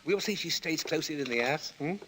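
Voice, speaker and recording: An actor playing a chatty, good-natured working-class husband in a suspense radio drama, with an eager, folksy manner. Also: male voice, on the high side, lively intonation, moderate pace, pushed and bright, slight background noise. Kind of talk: old-time suspense radio drama acting.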